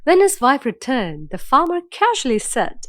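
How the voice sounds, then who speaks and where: A woman tells a scripted children's story with dramatic, clear phrasing. Her voice is on the high side and very animated in pitch. The recording is dry and close. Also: moderate pace, no room sound.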